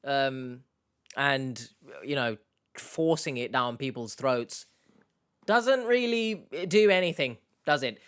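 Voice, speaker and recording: Jokey young man, clear voice. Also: medium pitch, lively intonation, slow pace, fairly bright tone. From Turkish Cypriot heritage, based in the UK. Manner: jokey, rambling, self-mocking. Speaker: YouTube host. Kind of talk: casual Q&A chat, reading out viewer questions.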